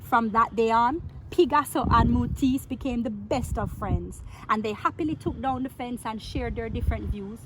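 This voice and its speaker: A woman with a fairly high voice reads a children's story aloud. She is theatrical and playful, stretching words and putting questions to her young listeners. There is clear noise in the background. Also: normal speed, few pauses. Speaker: storyteller reading a picture book aloud to children.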